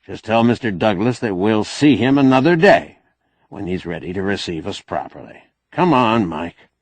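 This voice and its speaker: A man's voice: lowish voice, lively intonation, moderate pace, husky, no echo. A man voicing a blunt, folksy old man who bargains shrewdly and sardonically in an audiobook novel. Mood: weary, dismissive. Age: older. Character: crusty, shrewd old man, bossy, wry negotiator.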